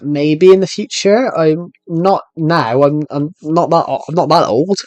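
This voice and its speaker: A man in his twenties with a thick British accent talks through a live game stream, tripping over his own sentences as he answers viewer questions. His voice sits slightly higher than most men's. The sound is dry and close, with no room echo. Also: unhurried, darkish tone. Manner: rambling, restarts sentences while answering chat questions. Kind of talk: casual live stream chat.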